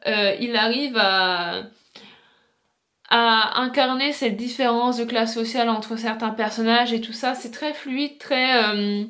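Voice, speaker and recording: A woman with a slightly high voice talks as a book vlogger. She explains in an informal, chatty way, as in a casual video essay. She addresses her viewers directly. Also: a bit bright.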